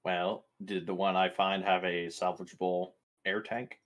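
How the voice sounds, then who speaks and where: A man's voice: low-pitched, little pitch movement, unhurried, a bit bright. A man playing a tabletop roleplaying game, chatting casually in a blunt, sweary way. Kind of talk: casual joking table chat.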